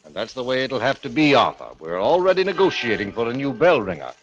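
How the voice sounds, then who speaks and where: An actor playing a firm but concerned man of business in a 1940s radio drama, speaking in a brisk, reasoning manner. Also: medium pitch, sing-song, fairly bright tone. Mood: firm, regretful. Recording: muffled, noisy old 1940s radio broadcast.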